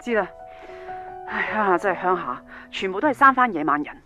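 Fast, brusque young mother. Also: mid-range voice, animated, bright, forceful tone. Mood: curt, annoyed. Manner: brusque, impatient. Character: curt, defensive working mother, impatient daughter. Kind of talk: radio drama acting. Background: faint music.

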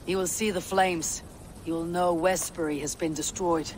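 Brief: male actor as steady Viking chief, slightly high, firm and level, faint outdoor hiss. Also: normal speed, lightly acted, poor audio. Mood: resolute. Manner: firm, composed, commanding. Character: steady Viking clan leader, calm and resolute. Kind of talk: video game cutscene acting.

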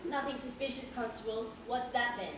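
A woman's voice: fairly high pitch, steady tone, brisk, fairly bright tone, lightly acted. A woman acting a pompous, condescending male police inspector who interrogates people in an amateur stage play. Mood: pompous, patronising. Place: large theatre stage, indoors. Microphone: far audience camera. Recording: distant echoey theatre stage, noisy.

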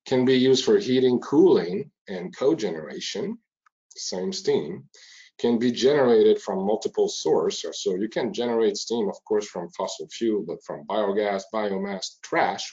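A man's voice: fairly low pitch, unhurried, a little mellow. French Canadian man, chatty webinar presenter. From Quebec, Canada. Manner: informal, chatty, with frequent fillers. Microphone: video call. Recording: muffled lo-fi webinar audio.

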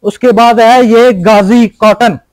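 Young male cloth seller, high voice. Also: fairly even intonation, slow pace, a little mellow, no echo, rough recording. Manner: persuasive, repeats prices. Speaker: cloth wholesaler. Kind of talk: sales pitch. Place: cloth warehouse indoors.

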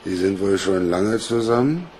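An actor playing a sly, needling police detective with an insinuating manner in a German crime radio play. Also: male voice, deep voice, lively intonation, darkish tone, slight background noise. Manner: deliberate, insinuating.

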